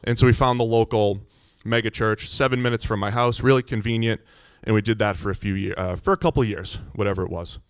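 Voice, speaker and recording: A middle-aged American man with a loud, booming voice, telling his personal story to a congregation in an informal, conversational way. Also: steady tone, quick pace, few pauses, smooth, dry recording. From Massachusetts, United States. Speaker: visiting church speaker.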